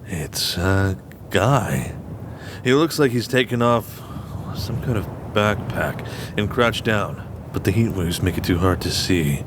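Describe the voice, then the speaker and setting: A man playing a gruff older gunslinger in an audio drama, speaking in a laconic way. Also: lively intonation, slow pace. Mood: wary. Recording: rumbling engine-like noise behind voice.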